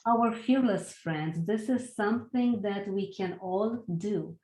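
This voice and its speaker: A woman's voice: medium pitch, takes it slowly, dark tone. A woman hosting a motivational podcast on a video call, speaking in an emphatic, encouraging way and repeating phrases for weight. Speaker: host.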